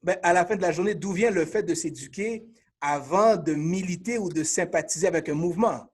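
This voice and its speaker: A man with a Quebec French accent and a fairly high, husky voice hosts a casual panel interview. He probes his guests, restarting and rephrasing questions as he goes. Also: fairly quick. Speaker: podcast host.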